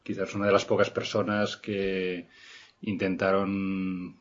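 A middle-aged man from Barcelona, guest on an interview podcast, speaking in a measured, hesitant way with many restarts. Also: low-pitched, monotone. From Barcelona, Spain. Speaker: guest architect. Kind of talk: reflective interview talk. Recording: slightly muffled podcast recording.

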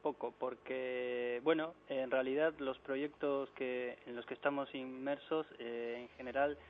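A man's voice: mid-range voice, fairly even intonation, slight background noise. Argentine man on a tinny phone line. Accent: mixed Argentine and Spanish. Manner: halting, many fillers and restarts. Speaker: architect interviewed by phone. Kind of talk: casual phone interview. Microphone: telephone.

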